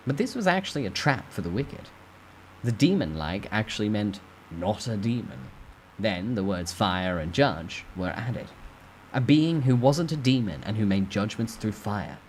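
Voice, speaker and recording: Animated deep-voiced man reading dramatically. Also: unhurried, a little hiss or hum, poor audio. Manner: expressive, theatrical emphasis. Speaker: narrator reading a novel aloud. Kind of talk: dramatic storytime reading, first-person narration.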